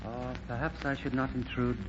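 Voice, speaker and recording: An actor playing a humble, courteous Chinese lieutenant in an old-time radio drama, speaking formal, halting English as a second language. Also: male voice, medium pitch, level tone, natural voice. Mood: sorrowful, restrained. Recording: dull, faintly noisy vintage recording.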